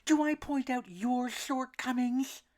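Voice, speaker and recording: A woman voicing a sensitive, timid little boy duckling in a storybook, in a high, childlike, earnest voice. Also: fairly even intonation, slow pace, mellow.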